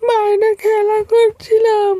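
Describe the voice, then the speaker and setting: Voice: very high-pitched, monotone, takes it slowly, warm tone, dry recording. An actor voicing an anxious, pleading young village boy, a devoted son in a cartoon. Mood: worried. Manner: pleading, urgent.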